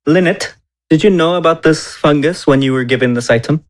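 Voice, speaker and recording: A man's voice: on the high side, fairly quick. A man playing a tabletop role-playing game, trading casual in-character banter with the group.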